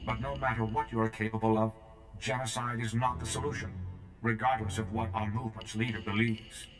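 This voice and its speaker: A man's voice: lowish voice, little pitch movement, roomy sound, faint music behind, close to an everyday voice. A man voicing a blunt, free-willed medical droid in a video game, speaking formally and plainly. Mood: frank. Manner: formal, plain-spoken, measured. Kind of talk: video game cutscene acting.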